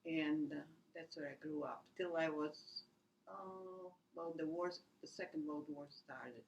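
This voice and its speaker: Brief: woman, 80s, gravelly fry, halting reminiscence. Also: low voice, muffled recording, a little hiss or hum. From Latvia. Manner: halting, many restarts and "you know" fillers. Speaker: oral history interviewee. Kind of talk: rambling personal recollection.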